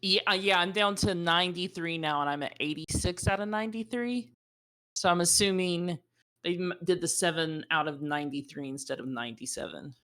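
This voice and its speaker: A woman player in a tabletop game, chatty and working through numbers out loud. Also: lowish voice, fairly quick. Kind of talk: casual game table chat.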